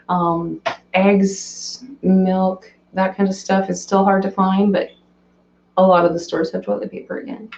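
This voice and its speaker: A woman hosting a casual live stream from her fish room, chatting and answering viewer comments with a hoarse, cracking voice. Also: mid-range voice, darkish tone. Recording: roomy, slightly dull home recording.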